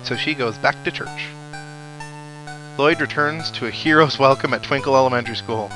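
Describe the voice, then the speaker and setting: A man talks through a video game in a casual, chatty let's-play style. His voice sits at an ordinary pitch and he drops wry asides as he goes. Game music plays clearly behind him. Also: moderate pace, sharp tone, rough recording. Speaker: let's player.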